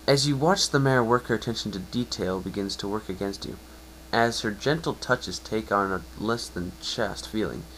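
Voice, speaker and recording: A man reading a story aloud in the second person as a dramatic narration, a little haltingly. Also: on the low side, a bit bright, slight background noise, rough recording.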